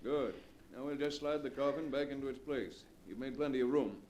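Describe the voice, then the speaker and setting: An actor playing a commanding, matter-of-fact doctor in a 1940s radio drama. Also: male voice, medium pitch, fairly quick, a little mellow, natural voice. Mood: businesslike. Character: brisk, commanding male doctor. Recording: vintage 1940s radio broadcast.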